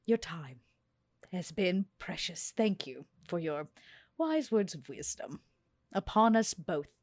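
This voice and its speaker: A woman plays a haughty character in a tabletop game with a slow, deliberate delivery. Her voice is low-set and husky, recorded dry and close. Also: expressive, darkish tone, dry recording. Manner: haughty, measured. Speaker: player. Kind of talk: in-character roleplay.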